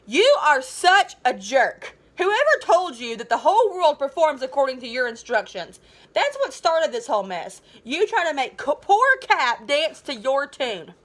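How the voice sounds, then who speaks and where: A woman's voice: high-pitched, very animated, measured pace, fairly bright tone, heavily acted. A woman voicing a nerdy middle-school boy in a storybook, resentful, defensive and sneering. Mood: indignant.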